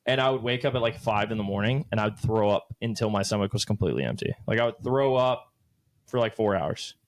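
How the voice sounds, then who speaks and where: A man's voice: on the low side, fast. Loose, swearing late-20s guy. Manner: loose, profane, rambling storytelling. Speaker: podcast co-host. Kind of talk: casual chat.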